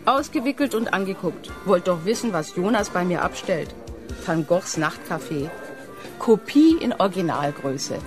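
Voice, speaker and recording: A woman playing a sharp-tongued, sarcastic gallery owner in a sci-fi radio drama, biting and emphatic. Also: on the low side, big pitch swings, moderate pace, music in the background. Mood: scornful.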